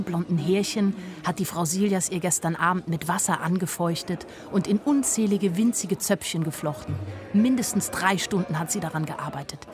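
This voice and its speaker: A woman's voice: on the low side, natural voice. An actress narrating a radio drama as a watchful young woman, matter-of-fact and observant. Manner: matter-of-fact, observant, short plain sentences.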